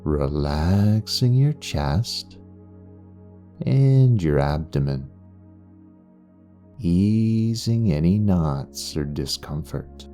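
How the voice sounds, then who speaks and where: A man guiding a meditation in a soothing, unhurried way, leaving long pauses between phrases. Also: sits low, lively intonation, mellow. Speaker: meditation guide and hypnotherapist. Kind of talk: guided meditation narration. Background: background music.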